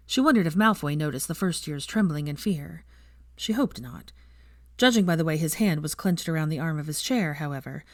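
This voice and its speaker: A woman's voice: sits low, a little mellow, no echo. A woman reading a story aloud as scripted audiobook narration, recorded close in a dry space. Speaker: fan fiction narrator.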